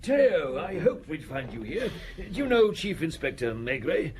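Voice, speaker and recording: An actor in a radio drama playing a polite, insistent, defensive gentleman from a respectable family, speaking formally and emphatically. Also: fairly high pitch, big pitch swings, normal speed. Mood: sociable.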